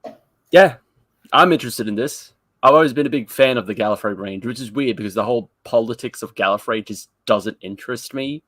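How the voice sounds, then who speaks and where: A man co-hosting a podcast, talking casually in an animated, rambling, self-deprecating way. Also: medium pitch, brisk, no room sound. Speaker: podcast co-host. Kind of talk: casual fan podcast chat.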